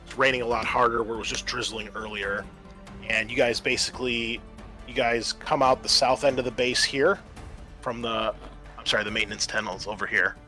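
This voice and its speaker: A man narrating a tabletop roleplaying game as game master, explaining things in a halting way. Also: medium pitch, steady tone, bright, forceful tone, soft background music.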